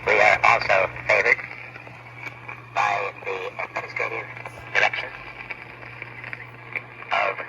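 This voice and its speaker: Humming, noisy old dictation record: male, creaky voice, halting formal letter dictation. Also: on the low side, level tone, muffled recording. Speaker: business man dictating letters. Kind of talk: letter dictation with spoken punctuation.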